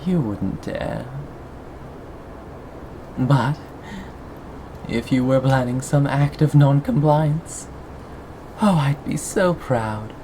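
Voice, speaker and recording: A performer of unclear sex playing a sadistic, possessive cannibal captor in an ASMR roleplay, lingering on words with mock tenderness and soft menace. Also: medium pitch, noisy background. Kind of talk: ASMR roleplay, scripted monologue to listener.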